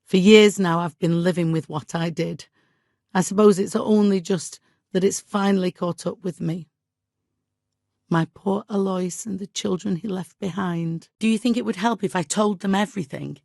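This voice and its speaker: An actress playing a middle-aged medieval village widow in a video game, devout and guilt-ridden, with an exasperated, imperious and plaintive manner. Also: female voice, on the low side, fairly even intonation, moderate pace, creaky, a little mellow, no echo, studio quality. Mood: guilty, remorseful. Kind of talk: dramatic video game dialogue acting.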